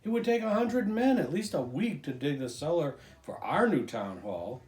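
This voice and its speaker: A man's voice: a little high, big pitch swings, normal speed, darkish tone, roomy sound. A man voicing a skeptical, mean small-town official in a children's storybook, incredulous and scoffing.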